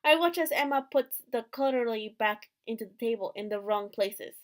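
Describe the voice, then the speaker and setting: A woman with a high-pitched voice reads story narration aloud from a visual novel. She performs it expressively as the host of a gaming video. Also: moderate pace.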